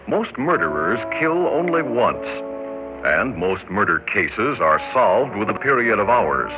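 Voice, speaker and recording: An American man as a sober, authoritative narrator, reading scripted documentary-style crime narration for a radio drama. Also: lowish voice. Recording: very muffled old radio recording, brass music.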